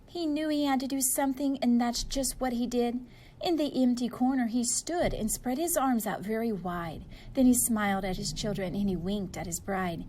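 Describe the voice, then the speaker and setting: A woman reading a children's story aloud in a playful, expressive way, leaning on words for emphasis. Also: a little high, a little hiss or hum, rough recording. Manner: animated, playful, drawn-out emphasis. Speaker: storybook reader.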